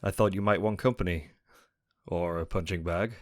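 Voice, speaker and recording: A man playing a loyal, composed, quietly teasing military captain in a sci-fi audio drama. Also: deep voice, moderate pace, no echo, lightly acted. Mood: calm, wry.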